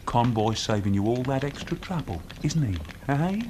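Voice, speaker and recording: A man's voice: mid-range voice, expressive, moderate pace, natural voice. Guy playing a jeering british thug in an old radio drama, slangy, taunting, voice jumps all over, bit of hiss. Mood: mocking. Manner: taunting, slangy, tag questions. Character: sneering, bullying, hard-drinking male lout, cruelly mocking.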